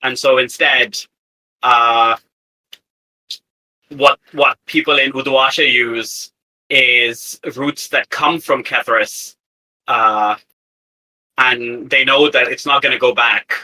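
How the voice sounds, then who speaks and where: Slow-paced man with a sharp, bright voice. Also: mid-range voice, fairly even intonation, low-quality recording. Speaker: player in a tabletop role-playing game. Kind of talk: casual improvised worldbuilding chat.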